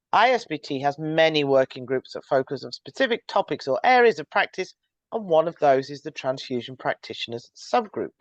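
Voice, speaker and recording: A woman in her forties or older hosting a podcast, reading a measured, scripted introduction before interviewing a guest. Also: sits low, no room sound, dull audio. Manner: clear, measured, reads from a script. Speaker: podcast host, transfusion practitioner.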